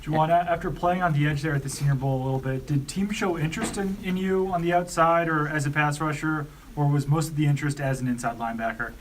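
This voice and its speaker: A man's voice: on the high side, fairly even intonation, fast talker, few pauses, poor audio. A man, a sports reporter putting questions to an athlete on a conference call. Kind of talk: press questioning. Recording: conference call with faint noise.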